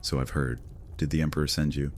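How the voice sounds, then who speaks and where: An actor playing a brooding, sardonic vampire lord in a horror audio drama, speaking in a theatrical, deliberate, taunting manner. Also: male voice, very deep voice, fairly even intonation, soft background music.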